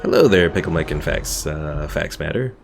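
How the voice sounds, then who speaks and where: A man's voice: extremely low pitch, lively intonation, moderate pace, gravelly, music in the background. A man casually chatting with viewers while streaming a strategy game, laid-back and rambling. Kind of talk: casual live stream commentary.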